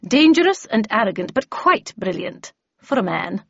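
A woman's voice: medium pitch, very animated, moderate pace, gravelly, no room sound. A haughty, commanding woman mentor with a fierce temper, played by a woman narrator in a storybook reading. She speaks imperiously, in a dry and slightly dull recording.

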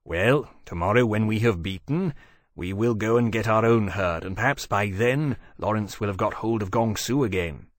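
A man's voice: on the low side, normal speed, no echo, clean studio sound, lightly acted. A man voicing an earnest, eager, somewhat bossy male dragon with formal, precise phrasing in an audiobook. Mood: hopeful.

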